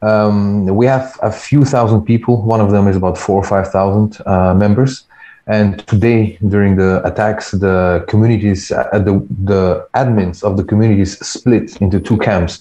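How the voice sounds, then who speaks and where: Deep-voiced man with a Ukrainian accent. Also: mellow, roomy sound. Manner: measured, translating with fillers. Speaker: interpreter and guest. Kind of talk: interview answers over a call.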